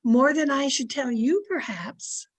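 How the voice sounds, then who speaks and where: A woman playing a sly, flattering old aunt in an old-time radio comedy, coy and sweetly confiding. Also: sits high, a little slow. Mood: innocent, sly. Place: home, online video-call production.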